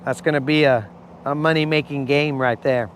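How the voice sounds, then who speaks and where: A hoarse man chatting loosely to his viewers from a boat on a lake, his voice sitting a bit high for a man. His talk is slangy and easygoing, and he keeps calling people bro. Faint outdoor noise runs under him. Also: steady tone, moderate pace. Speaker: fishing channel host. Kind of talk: live-stream chat while fishing.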